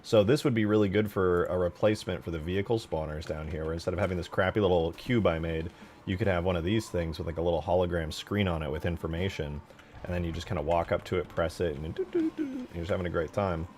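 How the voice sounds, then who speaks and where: A man chatting casually on a livestream as he shows game mod work, informal and slangy, easily excited. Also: sits low, fast talker, a little hiss or hum. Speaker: live streamer and game modder.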